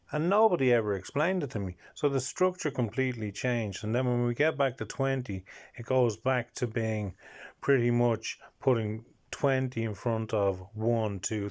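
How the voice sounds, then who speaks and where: Slow, careful Irish man. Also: mid-range voice, darkish tone. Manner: slow, careful, repeats each word. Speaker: language-learning vlogger. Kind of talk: explaining and repeating number words.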